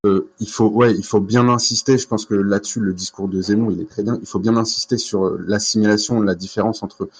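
A man with a lowish voice talks quickly and earnestly in a debate. He often starts hesitantly, then shares a personal point. The pace is brisk throughout. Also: steady tone, breathy edge. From France, of Moroccan descent. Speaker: debate participant.

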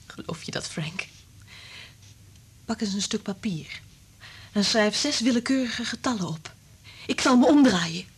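Breathy, husky, anguished wife. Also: female voice, mid-range voice, animated, measured pace, long pauses. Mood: anguished, urgent. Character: anguished married woman made telepathic by a serum, bitter. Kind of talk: radio drama acting.

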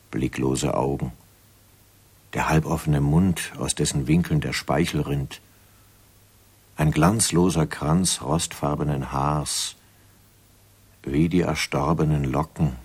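Casting: grave gothic radio narrator, extremely low register, unhurried delivery. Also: male voice, slightly rough, exaggerated voice. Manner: measured, deliberate. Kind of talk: scripted radio drama narration.

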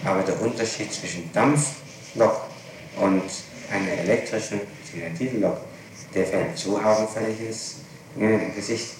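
A man with a fairly low voice conducts a formal, insistent courtroom interrogation. He works methodically through his questions. The archival tape is heavily reverberant and clearly noisy, with him far from the microphone. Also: moderate pace. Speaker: public prosecutor. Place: large echoing room, distant mic.

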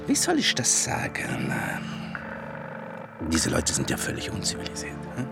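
A genial but condescending Italian camp commander in a radio play. His Italian-accented speech is halting and swings widely in pitch over a gravelly low base. Music plays behind him. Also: wildly swooping pitch, heavily acted. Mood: condescending explaining. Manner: halting, searching for words, swooping emphasis. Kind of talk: radio drama dialogue.

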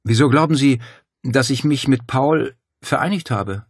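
A man voicing an outraged, defiant young woman with sharp, scornful delivery in an audiobook drama. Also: mid-range voice, measured pace, breathy edge, no room sound, studio quality, voice pushed up. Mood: challenging.

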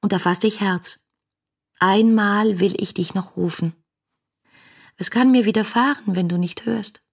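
A woman's voice: medium pitch, measured pace, dry recording, clean studio sound. An actress playing a yearning, rapturous woman in love with an aging poet, speaking fervent, lyrical lines in a literary German radio play. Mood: pleading, tender. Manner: fervent, lyrical, declamatory, breaking into breathy whispers.

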